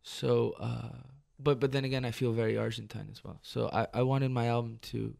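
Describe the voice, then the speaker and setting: A man from Detroit, a musician guest on live radio, talking in a reflective, halting way with many restarts. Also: medium pitch, steady tone, gravelly, a little mellow. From Detroit, United States. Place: radio studio.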